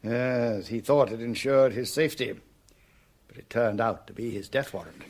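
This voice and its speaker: An actor with a British accent playing a brisk, authoritative French police superior in a radio drama, with a crisp manner. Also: male voice, mid-range voice, a little mellow, lightly acted. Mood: firm, wry.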